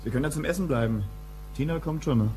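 An actor playing a surly, defensive young boyfriend in a crime radio drama, offhand and slangy. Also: male voice, on the high side, fast talker, mellow, slight background noise, lightly acted. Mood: offhand, wary.

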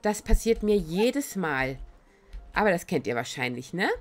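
A woman streaming a video game, chatting in a casual, excitable and giggly way. She has a cold that makes her voice slightly raspy. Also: fairly low pitch, normal speed, soft background music. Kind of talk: casual let's-play commentary.

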